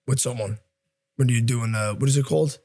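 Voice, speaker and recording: Husky-voiced man asking casual questions. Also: medium pitch, steady tone, fairly quick, warm tone. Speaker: podcast host. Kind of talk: casual chat, halting curious questions.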